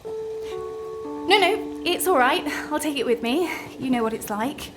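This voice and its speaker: Awkward, high-voiced, slow-speaking woman passenger. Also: lively intonation, over music. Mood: awkward excuses. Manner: halting, evasive, politely strained. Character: awkward, guarded woman writer avoiding a chatty passenger. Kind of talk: naturalistic radio play acting.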